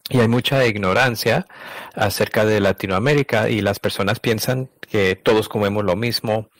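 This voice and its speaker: A man with a fairly low voice works as an interpreter, relaying an interview as it goes. His delivery is halting, with stops, restarts and self-corrections. The sound is dry and close, with little room around it. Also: no room sound. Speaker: interpreter voicing a translated conversation.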